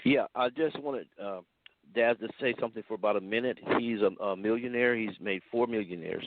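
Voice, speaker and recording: A man, a pastor, introducing a guest on a call in a halting way full of fillers. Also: on the low side, dry recording. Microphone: call-in line. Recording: tinny phone-like call from far off.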